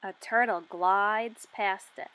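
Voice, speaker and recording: A woman in her twenties reading a picture book aloud for young children, asking questions and enunciating deliberately. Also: mid-range voice, fairly even intonation, fairly bright tone, low-quality recording. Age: 20s.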